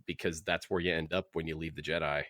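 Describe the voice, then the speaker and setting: A man co-hosting a casual book club podcast, talking in an animated, rambling, joking way. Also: extremely low pitch, fast talker, no room sound, studio quality. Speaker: podcast co-host.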